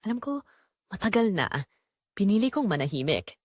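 A woman voicing a long-silent, wronged husband and father in an audio drama, grave, measured and restrained. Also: mid-range voice, sing-song, dry recording. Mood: grave, hurt.

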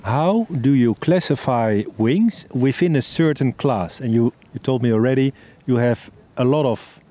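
A man's voice: mid-range voice, expressive, unhurried, warm tone. A male host asking an interview guest short, direct questions.

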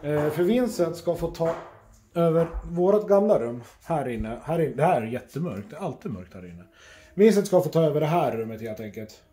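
A middle-aged man chatting casually for a family vlog, informal and sweary, often halting, recorded on a phone at arm's length indoors. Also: a little high, lively intonation, warm tone. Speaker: family vlogger. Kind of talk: casual handheld vlog chat. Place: indoors at home, rooms and kitchen. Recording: roomy echo, faint household noise.

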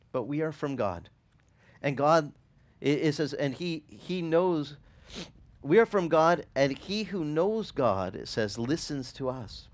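A man in his forties, a pastor who grew up speaking Italian, teaching a casual sermon outdoors on a beach with a headset mic, asking his listeners questions as he goes. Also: a little high. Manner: animated, conversational, asks the listeners questions.

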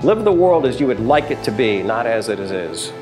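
A man's voice: mid-range voice, moderate pace, music in the background. A man in his fifties, a brewery chief executive, telling stories and answering questions in a sit-down interview in a taproom, gesturing as he talks. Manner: animated storytelling, hand gestures.

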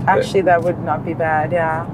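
A woman's voice: low voice, normal speed. A woman in her thirties chatting casually as a vlog co-host, narrating a road trip from the passenger seat. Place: inside a moving car. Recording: steady road and cabin noise.

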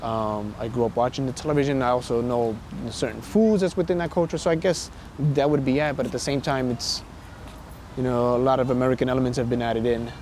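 A man with a New York accent, talking in a relaxed, animated way in a documentary interview on an outdoor park bench. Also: medium pitch, fairly quick, a little hiss or hum.

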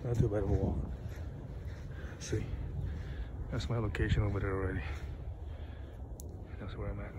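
A man's voice: fairly low pitch, little pitch movement, moderate pace, pauses often. An American man casually narrating an outdoor fishing vlog in informal, slangy speech. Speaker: fishing vlogger. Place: outdoors on a grassy riverbank. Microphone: handheld phone. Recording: noisy outdoor phone recording.

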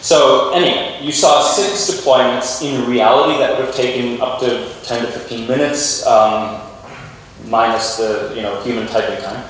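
A man's voice: medium pitch, steady tone, moderate pace, fairly bright tone. A man giving an informal, explanatory conference keynote on technology to a lecture theatre. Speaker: keynote speaker. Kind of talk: conference tech presentation. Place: large university lecture theatre with audience. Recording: echoey hall, distant mic, faint noise.

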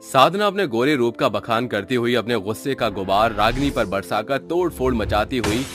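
A man's voice: mid-range voice, expressive, moderate pace, a bit bright, faint music behind. A man reading a scripted story narration.

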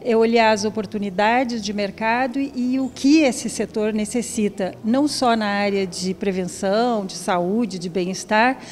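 A Brazilian woman answers an interviewer at an event. She speaks at a medium pitch in measured, searching phrases, with faint room noise around her. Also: a little mellow. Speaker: physician and trade fair executive. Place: event lounge. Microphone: handheld interview microphone.

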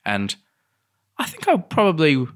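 Bright-voiced Australian man telling stories. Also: a little high, huge pitch swings, a little slow. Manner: enthusiastic storyteller. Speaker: podcast co-host. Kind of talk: casual anecdotal podcast chat.

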